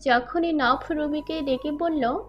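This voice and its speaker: A woman's voice: high voice, takes it slowly, muffled recording. A woman narrator reading a scripted dramatic story. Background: faint background music.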